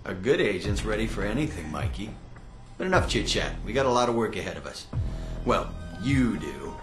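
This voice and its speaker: An actor playing a smug tech agent who trains recruits in a video game, casual and teasing in manner. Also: male voice, lowish voice, sing-song, brisk. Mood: needling. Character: cocky, needling male tech agent and range instructor. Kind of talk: video game cutscene acting. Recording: roomy echo, music behind.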